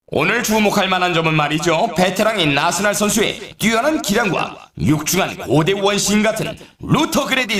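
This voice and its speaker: Echoey high-pitched excitable race announcer. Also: male voice. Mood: dramatic, eager. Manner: dramatic, rhetorical, showy. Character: excitable male snowboard race announcer, dramatic and eager. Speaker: sports announcer. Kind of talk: scripted sports commentary. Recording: roomy echo, distant from mic.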